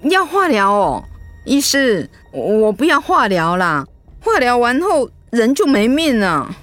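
A woman's voice: a little high, sing-song, a little slow, a bit bright, dry recording, faint music behind. An actress playing a stubborn woman of eighty in a radio drama, plaintive and insistent. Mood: worried refusal. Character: stubborn eighty-year-old mother refusing treatment. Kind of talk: Mandarin radio drama acting.

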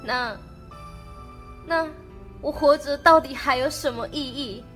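A woman's voice: high voice, takes it slowly, fairly bright tone, soft background music, pitched above the performer's own voice. A woman with a Taiwanese accent playing a lovesick, melancholy teenage princess in a student radio drama, girlish and emotional. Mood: despairing. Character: lovesick, melancholy eighteen-year-old princess.

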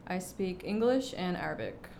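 A woman's voice: fairly low pitch, wildly swooping pitch, moderate pace, gravelly, a little mellow, slight background noise. A young woman who grew up in Egypt, chatting casually as a podcast guest, with lots of fillers and an easy laugh. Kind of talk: casual interview chat.

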